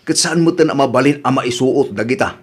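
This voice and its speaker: A man acting as a grumbling husband from a poor village home, speaking with an Ilocano accent. His voice sits at an ordinary middle pitch, and he delivers his lines as radio comedy drama. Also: fairly quick, husky, natural voice. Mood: irritated.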